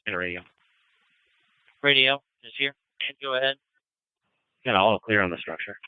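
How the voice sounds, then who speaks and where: A man's voice: lowish voice, moderate pace, smooth, no room sound. A man speaking over a two-way radio as an incident commander, in short procedural calls. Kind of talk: terse radio dispatch traffic. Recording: narrow tinny fire radio transmission.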